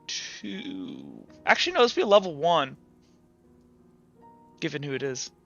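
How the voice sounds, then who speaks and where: A man acting as game master, improvising narration and rulings in a halting way over an online call. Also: on the high side, wildly swooping pitch, gravelly, a bit bright, lo-fi sound. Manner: halting, feeling out descriptions. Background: faint music.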